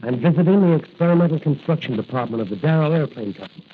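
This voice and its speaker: An actor playing a confident, jovial young masked crimefighter in 1940s radio style. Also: male voice, on the high side, lively intonation, fast, husky. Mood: cheerful, confident. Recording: muffled old radio recording, faint noise.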